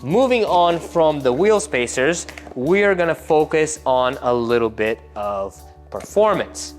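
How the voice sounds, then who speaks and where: A man's voice: fairly high pitch, animated, a little slow, soft background music. A man hosting a technical car build video, explaining parts in a casual way with jokey asides, in a workshop. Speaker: car tuning shop host.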